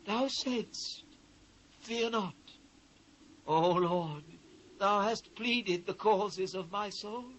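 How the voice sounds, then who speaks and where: A man's voice: high voice, very slow, pauses often, fairly bright tone, heavily acted, low-quality recording. A British man playing an anguished biblical prophet in a dramatised scripture reading, solemn, declamatory and impassioned. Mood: anguished, pleading. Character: anguished male biblical prophet lamenting his ruined people. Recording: faint noise, old cassette transfer.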